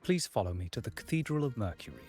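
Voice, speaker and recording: An actress playing a polite, formal church attendant in a video game, with a husky voice. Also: female voice, extremely low pitch, sing-song, fast, darkish tone, no room sound, soft background music, heavily acted. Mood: polite, composed.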